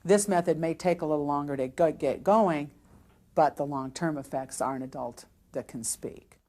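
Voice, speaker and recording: Female professional interviewee with a low-pitched voice, measured and explanatory. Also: warm tone. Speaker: oral deaf-education professional. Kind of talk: documentary interview.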